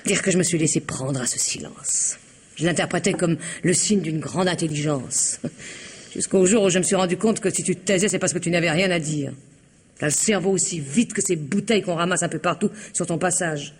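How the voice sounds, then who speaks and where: An actress playing Eva, a taunting, contemptuous wife in a vintage French radio drama, with a husky, mocking delivery. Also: female voice, fairly low pitch, brisk, a little hiss or hum, close to an everyday voice. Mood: scornful.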